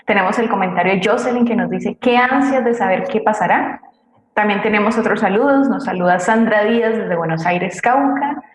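A woman's voice: medium pitch, normal speed. Woman reading comments, echoey room. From Colombia. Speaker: co-host reading audience comments. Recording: echoey room, faint background noise, clicks.

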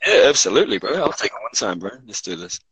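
A man's voice: fairly low pitch, sing-song, fast talker, fairly bright tone, a little hiss or hum, poor audio. A man from South Africa in casual chat, easygoing and slangy, with a South African accent. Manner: laid-back slangy talk, calls everyone bro. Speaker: chat participant. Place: Auckland, New Zealand.

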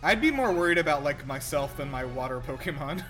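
A man with a somewhat high-pitched voice chats casually and jokes his way through a film. He swears lightly, and the movie's music plays faintly behind him. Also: animated, brisk. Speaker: host of a movie reaction show. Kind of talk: casual reaction commentary over a film. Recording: film soundtrack playing behind. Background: movie audio with music.

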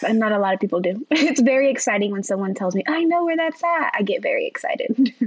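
A woman's voice: fairly high pitch, expressive, a little fast, slightly rough, no echo, dull audio. A young woman from Texas telling stories as a podcast guest, giggly and full of fillers. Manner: giggly, filler-heavy storytelling. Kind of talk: casual podcast chat.

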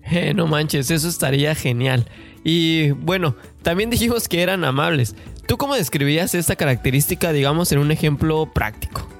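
A man's voice: a little high, moderate pace, gravelly, soft background music. Man co-hosting a podcast. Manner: friendly, explanatory, slangy. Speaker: podcast co-host. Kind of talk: casual podcast chat.

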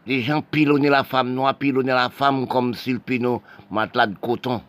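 Halting, impassioned man with restarts. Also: medium pitch, fairly even intonation, a bit bright. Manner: halting, repetitive, many restarts and broken phrases. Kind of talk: impassioned spoken monologue.

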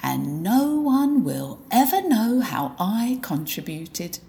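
Woman playing a smug murderer narrator, slow and lilting, prim and gloating. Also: mid-range voice, very animated, gravelly, warm tone, exaggerated voice. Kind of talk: amateur audio drama acting.

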